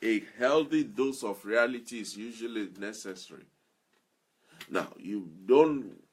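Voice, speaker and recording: Male self-improvement speaker: slow, deliberate, a bit husky, earnest and repetitive. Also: mid-range voice, fairly bright tone. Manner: earnest, repeats key phrases for emphasis. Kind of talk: motivational talk to listeners.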